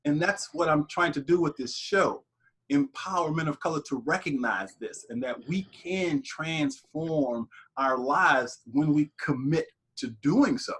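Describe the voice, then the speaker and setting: A man hosts an interview with a fairly high, husky voice. He talks in a thoughtful way and asks probing questions. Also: animated, a little slow, fairly bright tone, poor audio. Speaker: host. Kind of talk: interview conversation.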